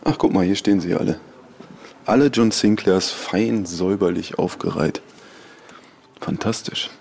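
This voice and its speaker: A man with a deep, creaky voice makes casual remarks in an office corridor. He jokes along as co-host, with faint ambient noise behind him. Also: unhurried, darkish tone. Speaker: podcast co-host. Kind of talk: casual on-location commentary, joking.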